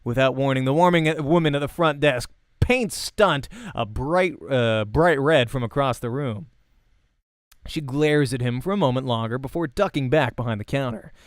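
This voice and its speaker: A man's voice: medium pitch, moderate pace, no echo, studio quality. A man hosting a let's play, reading visual novel narration aloud expressively like a voice actor. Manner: acts out dialogue while narrating. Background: game music.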